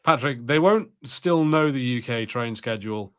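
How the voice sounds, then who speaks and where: A man's voice: mid-range voice, animated, moderate pace, fairly bright tone, smooth. A man, chatting casually as a podcast co-host. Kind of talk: casual chat.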